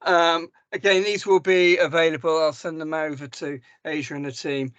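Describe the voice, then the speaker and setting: A hoarse man, a mental health service manager presenting slides in a webinar over a video call and talking hesitantly with many ums and restarts. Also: a little high, little pitch movement, normal speed, a bit bright, dry recording, lo-fi sound. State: hoarse from a cough.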